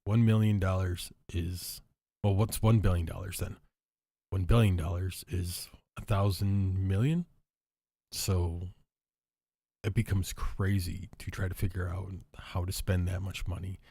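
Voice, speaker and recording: A deep-voiced man with a husky, worn-out sound, hoarse and a bit stuffy, talks casually to his viewers. He rambles, circles back, and fills pauses with "uh" and "um". His mellow voice sits in a dry, close recording. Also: fairly even intonation, dry recording. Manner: rambling, thinking aloud with many fillers and restarts. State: hoarse, stuffy after illness. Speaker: show host. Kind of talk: casual solo talk to viewers.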